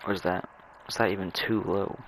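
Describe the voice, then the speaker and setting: A young man chatting casually and rambling over his gameplay, halting and stammering as he repeats words. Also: sits low, steady tone, a little hiss or hum. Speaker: gamer narrating own gameplay.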